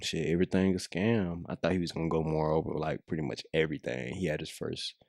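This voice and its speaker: American dude doing casual reaction commentary, gravelly voice, close dry sound, lots of slang and cussing. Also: male voice, very deep voice, steady tone, quick pace, a little mellow, no room sound. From United States. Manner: slangy, heavy swearing, repeats checking phrases. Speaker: reaction video host.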